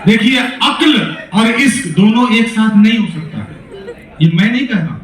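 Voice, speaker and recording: A man's voice: high voice, expressive, normal speed, some chatter behind. A man with a Bihari accent, a stage poet giving a teasing, folksy talk to students at a poetry event. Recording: echoey hall, voice from far off.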